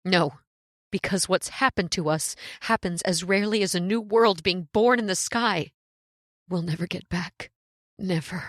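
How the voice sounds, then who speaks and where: A woman's voice: fairly low pitch, unhurried, no echo, studio quality. An actress playing a fatalistic stranded woman who delivers dreadful truths in a solemn, portentous old-time radio horror style. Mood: resigned, doomed.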